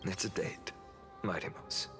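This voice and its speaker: A man playing a gentle, modest young hero in a video game, soft-spoken and polite. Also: unhurried, close to an everyday voice. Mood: warm, wistful. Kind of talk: game voice acting. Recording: prominent music behind.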